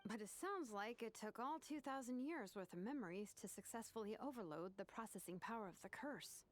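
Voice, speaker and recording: A woman's voice: a little high, lively intonation, fairly quick, close to an everyday voice. A woman playing an unflappable, practical technician in a video game, with a matter-of-fact way of talking. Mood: pondering.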